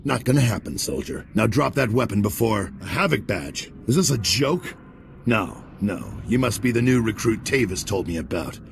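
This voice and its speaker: An actor playing a hardened veteran soldier turned rogue in a video game cutscene, talking in curt, blunt military phrases. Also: male voice, on the low side, big pitch swings, normal speed, vocal fry, a little hiss or hum. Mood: wry.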